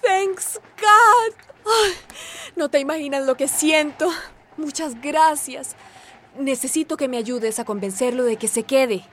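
Affectionate anxious mother, high pitch, faint noise, radio drama. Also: slow, a bit bright. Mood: relieved, grateful.

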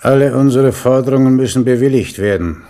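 An actor playing a stern, pious admiral in a 1970s radio drama, speaking in a measured, solemn way. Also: male voice, medium pitch, mellow, close to an everyday voice. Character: stern, pious old admiral and military leader.

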